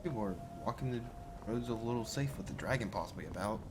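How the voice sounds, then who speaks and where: A man's voice: lowish voice, animated, quick pace, noisy background, lightly acted. A man playing a mild, grumbling adventurer in a game, muttering his thoughts in a hesitant, conversational way. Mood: musing. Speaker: role-playing game player. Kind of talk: in-character improvised gameplay narration.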